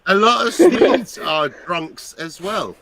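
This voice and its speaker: A man's voice: sits high, expressive, slow pace, over a noisy crowd, low-quality recording. A man joking and teasing crudely in casual stream banter. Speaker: guest on a stream.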